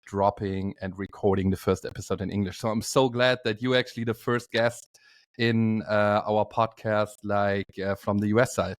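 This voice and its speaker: A man's voice: lowish voice, fairly even intonation, normal speed, no echo, studio quality. A man with a German accent hosting a podcast, speaking hesitantly with many fillers and broken English. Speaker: podcast host. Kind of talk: casual interview.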